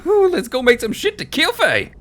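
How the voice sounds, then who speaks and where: Brash male recruit, done by a narrator: impatient, swaggering, very lively pitch swings, fantasy war story. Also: normal speed, full character voice. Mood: excited.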